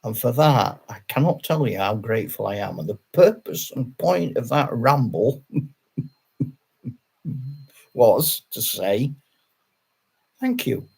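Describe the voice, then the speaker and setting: Slow gravelly older Yorkshireman. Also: mid-range voice, lively intonation, darkish tone. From Yorkshire, England. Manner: chatty and self-deprecating, with frequent chuckles and restarts. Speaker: wargaming channel host. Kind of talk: casual rambling monologue to camera.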